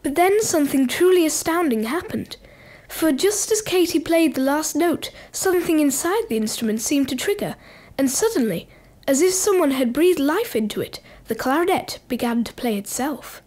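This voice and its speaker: A woman's voice: high voice, expressive, normal speed, a little mellow. A woman narrator reading scripted children's radio play narration in a storytelling style.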